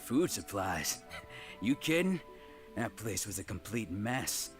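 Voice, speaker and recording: A man's voice: fairly low pitch, very animated, slow pace, soft background music. A man voicing a boastful male bandit leader in a game, swaggering and self-important. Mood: wheedling. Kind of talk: game character dialogue.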